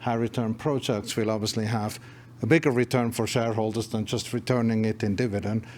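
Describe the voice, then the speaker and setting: A man's voice: on the low side, fairly even intonation, fairly quick, darkish tone, slight background noise. Earnest male executive with restarts. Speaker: company executive presenting. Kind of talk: investor presentation remarks. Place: conference room.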